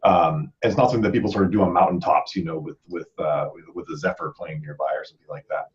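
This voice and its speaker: A man's voice: rumbling low, steady tone, fast talker, muffled recording. A man hosting a casual podcast panel, rambling conversationally and repeating words as he thinks aloud. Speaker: podcast host and author.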